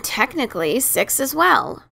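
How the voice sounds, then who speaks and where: A woman's voice: high voice, very animated, a little slow, creaky, sharp tone, exaggerated voice. A woman as a scratchy, cocky adventurer pony mare. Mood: defensive. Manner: cocky, teasing, informal. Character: brash, teasing adventurer pony mare, famous author. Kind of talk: dramatic reading of a fan story.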